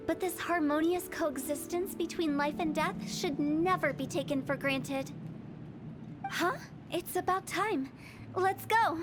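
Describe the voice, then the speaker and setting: A woman voicing a quirky, cryptic teenage girl funeral director in a video game, with a theatrical, articulate delivery. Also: very high-pitched. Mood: playful, teasing. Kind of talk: video game voice acting, storytelling. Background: game music.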